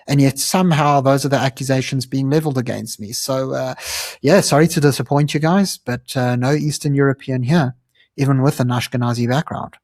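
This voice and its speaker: A man's voice: mid-range voice, a little mellow, dry recording, studio quality. A South African man hosting his own channel, explaining things earnestly in a conversational way, with frequent ums and uhs. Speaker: channel host. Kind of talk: explanatory commentary to camera.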